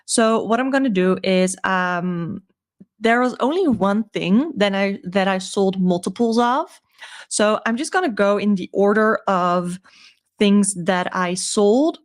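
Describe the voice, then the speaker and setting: A woman with a croaky voice giving a casual, chatty recap as a vlog host, informal and a little hesitant as she thinks aloud. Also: medium pitch. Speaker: crochet seller and video host.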